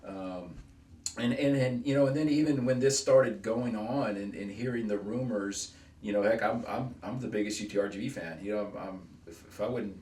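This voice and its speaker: Relaxed man, roomy distant sound. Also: on the low side, normal speed. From Texas. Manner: relaxed, rambling, repeats words while thinking. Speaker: head football coach. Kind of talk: casual interview. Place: living room at home.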